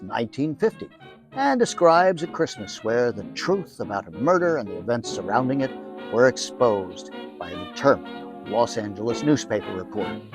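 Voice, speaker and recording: An American man hosting, delivering a theatrical yet conversational scripted introduction. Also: medium pitch. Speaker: host. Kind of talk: podcast introduction, semi-scripted narration.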